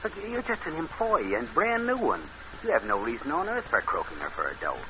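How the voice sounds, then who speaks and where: A man's voice: medium pitch, huge pitch swings, brisk. A man playing a scheming, cold-blooded, wary con man in a vintage radio noir drama. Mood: urgent, plotting. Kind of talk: 1940s radio noir acting and narration. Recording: noisy muffled old radio recording.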